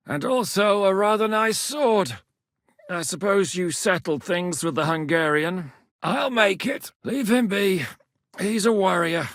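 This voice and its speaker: A blunt, coarse mercenary commander. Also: male voice, a little high, a bit bright, no echo. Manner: blunt, coarse-spoken, dry wit. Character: blunt battle-hardened male mercenary commander, middle-aged. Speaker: military commander. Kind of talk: video game voice acting. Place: studio booth. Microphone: close studio mic.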